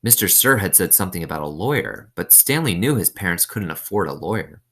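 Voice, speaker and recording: A man reading a children's novel aloud, pausing to explain things simply and put questions to listeners. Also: low-pitched, sing-song, sharp tone. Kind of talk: read-aloud storytelling with explanatory asides.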